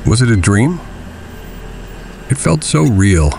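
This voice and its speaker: An actor voicing a grim, vengeful middle-aged vigilante billionaire in an audio drama, speaking gravely and deliberately. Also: male voice, lowish voice, very animated, darkish tone, slight background noise, heavily acted. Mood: grim, recounting. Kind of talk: audio drama narration and dialogue. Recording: dramatic music behind the voice.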